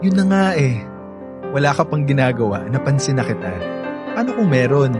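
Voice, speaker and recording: Actor as earnest young Filipino suitor, loud music. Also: male voice, medium pitch, sing-song, normal speed, natural voice. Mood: persuasive. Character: earnest reformed young playboy pleading his love. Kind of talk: Filipino radio drama acting.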